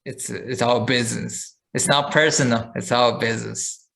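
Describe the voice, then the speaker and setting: A man's voice: mid-range voice, normal speed, vocal fry, rough recording. Man casually telling a story on a podcast. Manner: relaxed storytelling with fillers and restarts. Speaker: podcast co-host. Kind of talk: casual chat.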